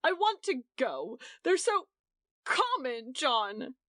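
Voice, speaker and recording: A woman's voice: sits high, sing-song, takes it slowly, a bit bright, no room sound, clean studio sound, voice pushed up, exaggerated voice. A woman voicing a distraught young wife who sobs and stammers as she protests. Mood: wailing. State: crying.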